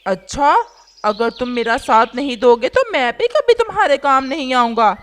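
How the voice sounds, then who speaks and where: A woman's voice: sits high, lively intonation, normal speed, slight background noise. A woman voicing a whiny, scheming, lovesick male crow in a children's cartoon, with a pleading, complaining manner. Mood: aggrieved.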